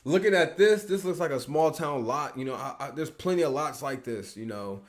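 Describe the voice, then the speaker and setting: Midwest guy reacting to music, mid-pitched voice, says like every other word, loose slangy rambling. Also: expressive, moderate pace. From Peoria, Illinois, US. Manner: rambling, heavy on fillers and slang. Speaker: music reviewer. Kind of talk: casual reaction review.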